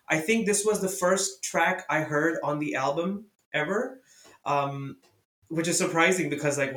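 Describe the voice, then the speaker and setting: A young man whose first language is Urdu or Hindi, hosting a casual music podcast and talking with enthusiasm and lots of fillers. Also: medium pitch. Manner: enthusiastic, lively, many fillers. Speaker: podcast host. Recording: echoey room.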